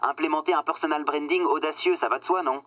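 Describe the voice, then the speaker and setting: A man's voice: fairly high pitch, fairly even intonation, fast talker, fairly bright tone, no room sound, lightly acted. An actor playing a smug, patronizing corporate marketing man who talks in glib business jargon in a radio satire. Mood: breezy, self-important. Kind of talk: satirical radio comedy dialogue, phone-call scene.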